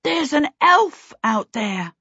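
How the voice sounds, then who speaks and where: A woman voicing an eager, excitable young boy who blurts out his lines. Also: a little high, slow, breathy edge, no room sound, voice pushed up, exaggerated voice. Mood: startled. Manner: blurting, exclaiming. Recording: dull, dry sound.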